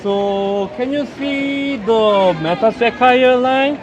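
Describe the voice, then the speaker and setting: Male tour guide, Korean accent, notably high voice, halting but instructive delivery, busy outdoor crowd behind. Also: rough recording. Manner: halting, instructive, often checks understanding. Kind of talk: explaining a route to tourists. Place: outdoors at a busy tourist site. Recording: crowd chatter, outdoor live capture. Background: crowd noise.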